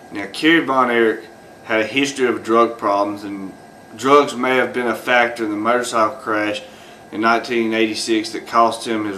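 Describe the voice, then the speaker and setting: A man's voice: lowish voice, pushed and bright, a little hiss or hum, poor audio. A man talking off the cuff as host of his own video, rambling with many fillers and restarts. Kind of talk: unscripted solo commentary.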